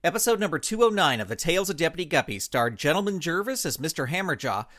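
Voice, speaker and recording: Kids' show closing announcer, American, scripted and upbeat, highly animated intonation. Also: quick pace. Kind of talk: scripted upbeat credits read for children's show.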